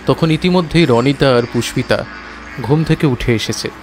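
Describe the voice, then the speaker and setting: Male narrator for horror audiobook, medium pitch, dramatic first-person telling, musical bed. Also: normal speed, faint music behind. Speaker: narrator and character in an audio story.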